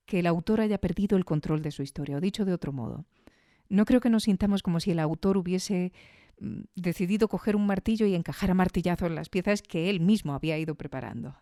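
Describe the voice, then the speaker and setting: A woman's voice: on the low side, warm tone, dry recording, studio quality. A woman with a Spain Spanish accent hosting a podcast, reviewing audio fiction in an informal, reflective, conversational way. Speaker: podcast host.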